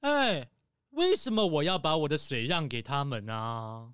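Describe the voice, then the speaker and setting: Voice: mid-range voice, expressive, unhurried, fairly bright tone, faint music behind. A voice actor playing an irritable, self-centred young fox guide who argues and complains in a children's audio drama. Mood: sulky, annoyed. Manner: indignant, argumentative, complaining.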